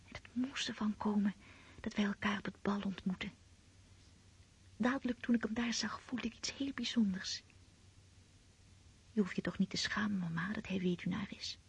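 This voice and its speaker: Breathy lovestruck teenage girl. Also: medium pitch, fairly even intonation, moderate pace, long pauses. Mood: dreamy, happy. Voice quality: breathy, whispery. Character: romantic, anxious, devout girl of seventeen in love. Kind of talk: radio play acting.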